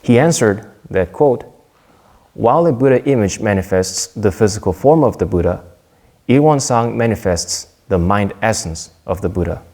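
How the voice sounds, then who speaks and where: A young man from Korea giving a prepared Dharma talk in a measured, earnest way, with a few small restarts. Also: on the low side, animated, mellow.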